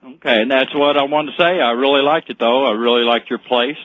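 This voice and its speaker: An American man from southern Indiana chatting casually as a radio caller, with a husky voice on a dull phone line. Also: mid-range voice, steady tone, fairly quick, no room sound. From southern Indiana, United States. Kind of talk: casual chat.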